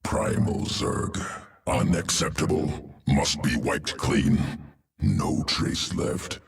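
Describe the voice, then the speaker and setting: An actor playing a cold, fussy alien bio-engineer in a video game, talking in clipped, clinical phrases with a gurgling, gravelly voice. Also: male voice, very deep voice, slow, distant mic, exaggerated voice. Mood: indignant. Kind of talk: video game character acting.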